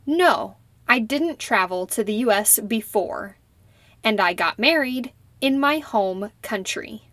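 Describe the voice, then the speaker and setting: A young woman playing a polite citizenship applicant who answers slowly and carefully in a scripted practice interview. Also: on the high side, expressive, fairly bright tone, dry recording. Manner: slow, careful, deliberate answers. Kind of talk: scripted role-play interview.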